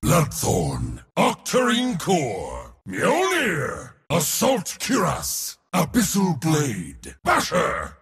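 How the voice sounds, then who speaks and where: Pompous war god for a video game, gravelly, really dramatic swings, big reverb. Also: male voice, a little high, wildly swooping pitch, drawn-out words, hardly any pauses, a bit bright, full character voice. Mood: proud, declaring. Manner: pompous, theatrical, emphatic. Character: proud, boastful, battle-loving male god of war. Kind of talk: video game character voice lines.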